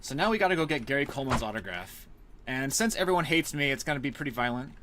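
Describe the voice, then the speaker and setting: A man's voice: mid-range voice, lively intonation, fast talker. A man giving casual, tongue-in-cheek live commentary as he speedruns a video game. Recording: clear background noise from game sounds. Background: game sounds and clicks.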